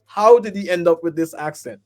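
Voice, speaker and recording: A man's voice: sits high, a little fast, darkish tone, faint music behind. A man from Guyana hosting an interview, friendly and prone to repeating himself with lots of "um". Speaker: podcast host. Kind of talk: casual interview.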